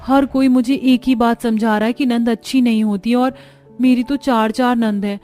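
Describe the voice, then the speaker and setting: A woman's voice: a little high, fairly even intonation, moderate pace, dark tone, soft background music, natural voice. A woman voicing a naive, timid young bride who sounds worried and pleading in a cartoon family story. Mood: anxious. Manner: pleading, anxious.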